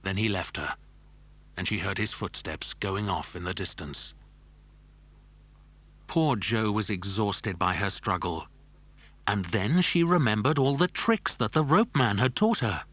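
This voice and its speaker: A man's voice: fairly low pitch, lively intonation, a little slow, pauses often, a bit bright. A man narrating a children's adventure story from a script, as in an audiobook dramatisation.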